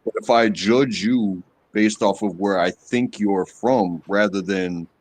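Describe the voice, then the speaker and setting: A lowish-voiced man from the United States chats as a guest on a live stream. He repeats words and stumbles over fillers as he talks. His voice sounds dry and close. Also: takes it slowly, no echo. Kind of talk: casual live-stream chat.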